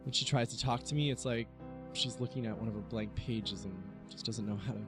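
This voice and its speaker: A frank young man played by an actor in a soap drama, coaxing like a supportive friend. His voice is mid-pitched, with faint music behind it. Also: fairly even intonation, fairly quick, natural voice. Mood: earnest, gently teasing. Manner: earnest, coaxing. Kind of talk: scripted audio soap drama acting.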